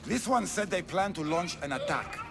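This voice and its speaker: An actor playing a cold, formal, embittered noble of an ancient race who despises humans, in a video game drama. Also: male voice, high-pitched, animated, normal speed, vocal fry, over music. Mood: insistent.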